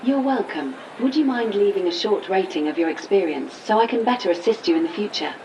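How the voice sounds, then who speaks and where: A woman's voice: medium pitch, lively intonation, fairly quick, a little mellow, recorded from a distance, slight background noise, lightly acted, rough recording. A woman playing a polite but unhelpful automated AI assistant in a comedic audio drama, speaking formally. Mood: blandly polite.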